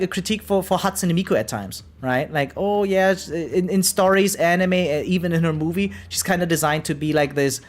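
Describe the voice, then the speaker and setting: Streamer, 30s: mid-range voice, loose chatty live commentary that rambles, soft music underneath. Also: medium pitch. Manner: rambling, keeps adding "right?". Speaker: variety game streamer. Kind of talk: casual live reaction commentary. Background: faint music.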